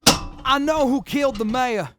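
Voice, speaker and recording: Man as a high-pitched, deliberate prisoner. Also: background noise. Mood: eager, confessional. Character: former slave prisoner, oddly forthcoming confessed killer. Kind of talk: post-apocalyptic radio drama acting.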